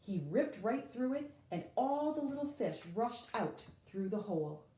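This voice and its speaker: A woman reading a children's picture book aloud in an expressive, dramatic way, leaning on key words with drawn-out emphasis. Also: fairly high pitch, roomy sound, rough recording.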